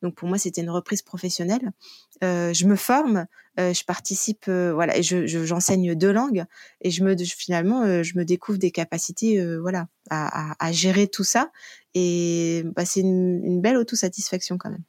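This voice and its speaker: A woman in middle age with a slightly low voice speaks casually as a podcast guest. She reflects as she goes, hesitating and rephrasing her sentences. The sound is dry and close, with little room in it. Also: moderate pace, a little mellow, no room sound. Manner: hesitant, searching for words, self-correcting. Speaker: interview guest, online French and Turkish teacher.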